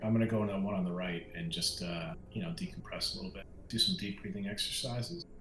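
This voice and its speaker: A man's voice: low voice, fast, fairly bright tone, a little hiss or hum. A man playing a tabletop roleplaying game, chatting casually and jokingly, recorded with a roomy echo. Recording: roomy echo, faint music.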